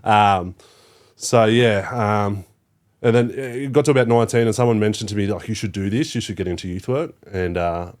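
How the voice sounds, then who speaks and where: A man's voice: fairly low pitch, moderate pace, darkish tone. A man in his thirties with an Australian accent, a guest on a podcast, talking in a laid-back way with lots of fillers and casual swearing. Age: 30s. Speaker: podcast guest, youth worker. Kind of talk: casual interview chat.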